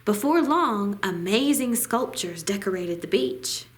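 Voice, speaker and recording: A woman reading a children's picture book aloud at storytime, with deliberate, dramatic phrasing. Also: mid-range voice, animated. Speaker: library storytime reader.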